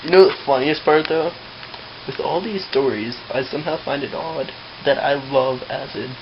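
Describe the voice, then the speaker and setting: A woman's voice: a bass voice, moderate pace. A young woman bantering casually as a vlog co-host, blunt and teasing in the way she talks. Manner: blunt, teasing, swearing, interrupting. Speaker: vlogger, co-host. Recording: muffled webcam-style audio with loud hiss.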